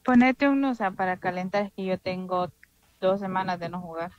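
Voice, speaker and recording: Casting note: relaxed woman, short replies on game voice chat, dry close sound. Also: lowish voice, moderate pace, dry recording, highs cut off. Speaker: fellow player. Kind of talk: casual voice chat while gaming.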